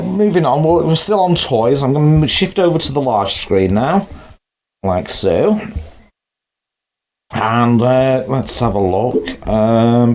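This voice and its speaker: A man's voice: mid-range voice, darkish tone. A man from Wales hosting a casual live-stream chat, joking with his guest and greeting viewers. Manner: chatty, jokey, greets viewers. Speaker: host.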